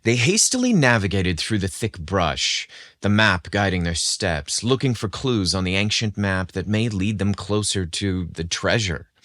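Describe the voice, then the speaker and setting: A man's voice: low voice, animated, a little slow, a bit bright, dry recording, clean studio sound. A man narrating a scripted story with dramatic expression.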